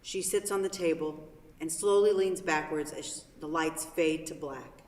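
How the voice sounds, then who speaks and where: A woman's voice: deep voice, unhurried, a little mellow. A woman reading stage directions as scripted narration for a play. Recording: very echoey hall from far off.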